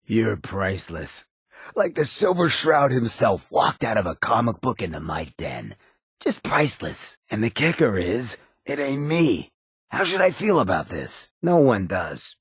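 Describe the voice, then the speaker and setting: An actor voicing a sly, swaggering outlaw mayor in a video game, with a gravelly rasp and cocky, streetwise, slangy drawl. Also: male voice, deep voice, no room sound, studio quality, exaggerated voice. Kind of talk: video game companion dialogue.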